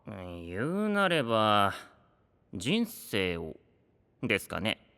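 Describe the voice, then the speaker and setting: A theatrical knight storyteller in an anime audio drama, bright and lively in pitch. He speaks with polite, teasing grandeur. The voice rings in a long reverb over music. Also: slow pace, smooth, heavily acted. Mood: wistful. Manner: polite speech, playful and grandiose.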